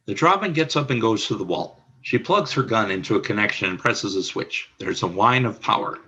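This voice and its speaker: A man's voice: fairly low pitch, expressive, a little fast, bright, forceful tone, breathy edge, dull audio. A man reading scripted scene narration for a radio play, describing the action in the present tense.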